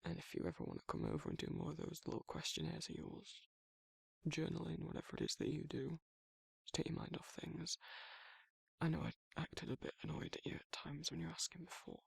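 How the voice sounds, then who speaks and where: A man's voice: a little high, big pitch swings, brisk, no room sound, heavily acted. A man playing a protective, awkward werewolf in an intimate ASMR roleplay, speaking breathily and hesitantly, often near a whisper. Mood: awkward, sincere. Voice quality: husky, breathy, gravelly, often dropping to a whisper. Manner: hesitant, rambling, bashful, informal. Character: protective, awkward, soft-hearted male werewolf confiding in a friend.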